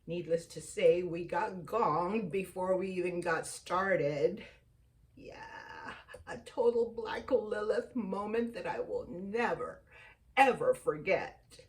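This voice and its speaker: A woman's voice: lowish voice, lively intonation, takes it slowly, recorded from a distance. A woman in her fifties whose first language is Tagalog, hosting a chatty vlog and dropping into whispered asides. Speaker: vlog host. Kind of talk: casual chat.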